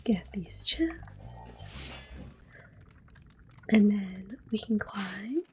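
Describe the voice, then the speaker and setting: A woman giving gentle, unhurried ASMR game commentary in a soft, breathy voice that often drops to a whisper. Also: mid-range voice, animated. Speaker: gameplay host. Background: video game music.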